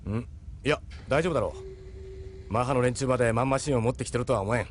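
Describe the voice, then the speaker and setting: A man's voice: mid-range voice, fairly quick, a little hiss or hum, close to an everyday voice. A man playing a confident, commanding yet courteous ship's captain in an audio drama. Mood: brisk, gruff. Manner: gruff familiar orders, polite to guests. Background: faint music.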